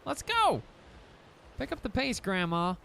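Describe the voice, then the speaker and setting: A man's voice: very high-pitched, wildly swooping pitch, measured pace, gravelly, bright, forceful tone, slight background noise. A young man casually commentating a survival game, rambling to his in-game pets and his audience. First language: English. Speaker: gaming YouTuber. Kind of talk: casual let's-play commentary.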